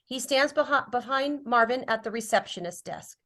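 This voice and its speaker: A woman's voice: on the high side, animated, normal speed, fairly bright tone. A woman reading stage directions as scripted narration at a drama table read.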